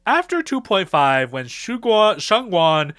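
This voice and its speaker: A young man casually improvising a story in a slangy, animated way, acting out bits of dialogue as he goes. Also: high-pitched, takes it slowly, clear, resonant tone, dry recording, studio quality. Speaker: video creator recapping fan game ideas.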